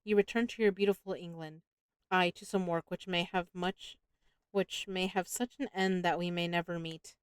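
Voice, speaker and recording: A woman's voice: lowish voice, fairly even intonation, moderate pace, smooth, no echo, studio quality, natural voice. A woman reading the lines of a courtly, sinister old male vampire count, stately and falsely gracious. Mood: suave menace.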